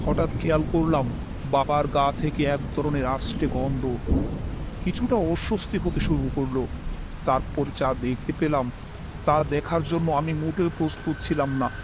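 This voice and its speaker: A man's voice: on the high side, normal speed, background noise. A man reading a scripted first-person horror story as its narrator. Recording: music behind the voice.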